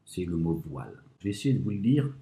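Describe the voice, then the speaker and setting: A man with a low, warm voice argues over scripture with lively rises and falls in pitch. He reads verses slowly in French and Arabic and keeps checking in with "d'accord?". The room around him is echoey. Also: creaky. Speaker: religious commentator. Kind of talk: speaking to an audience about scripture.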